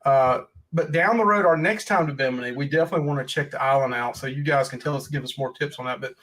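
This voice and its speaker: A man's voice: mid-range voice, fast, a bit bright, poor audio. A man hosting a casual livestream podcast, folksy and joking as he rambles through his notes. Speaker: podcast host.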